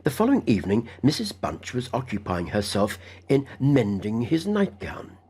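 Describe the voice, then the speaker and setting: A man's voice: medium pitch, big pitch swings, normal speed, a little mellow, a little hiss or hum. A man narrating a literary ghost story as an audiobook reader.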